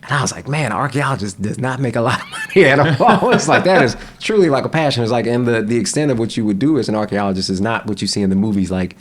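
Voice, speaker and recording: A young man chatting casually as a podcast guest, relaxed, with frequent laughs and fillers. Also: medium pitch, fast, few pauses. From United States.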